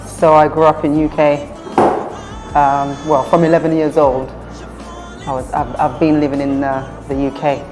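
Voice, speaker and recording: A woman from Uganda who grew up in the UK, a singer and pianist, introducing herself in a soft, hesitant way with many ums. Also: deep voice, level tone, normal speed, over music, poor audio. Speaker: singer and pianist, one half of a duo. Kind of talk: relaxed interview talk.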